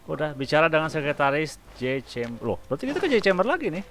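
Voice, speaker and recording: Fast, lively Indonesian man commentating on games. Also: fairly high pitch. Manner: slangy, chatty, thinking aloud. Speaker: gaming YouTuber. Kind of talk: casual let's-play commentary. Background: faint game music.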